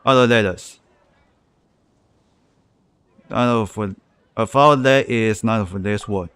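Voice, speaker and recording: Male game streamer, smooth clear voice, halting casual commentary, faint music behind. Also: lowish voice, a bit bright, no echo. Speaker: live streamer host.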